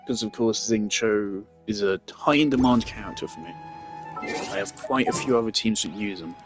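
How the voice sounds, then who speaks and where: Man, lowish voice, offhand gameplay talk, rambling and self-correcting, faint game music behind. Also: normal speed. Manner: rambling, thinking aloud with restarts. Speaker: gameplay streamer. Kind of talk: casual gameplay commentary.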